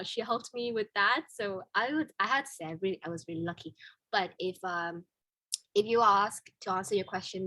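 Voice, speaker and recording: A young woman with a Vietnamese accent speaks English as a second language and tells her story in a relaxed chat. Her pitch sits in the middle, and she often restarts her sentences with fillers. The sound is dry and close, with no room echo. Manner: candid, many fillers and restarts, laughs easily. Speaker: guest. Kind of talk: casual interview chat.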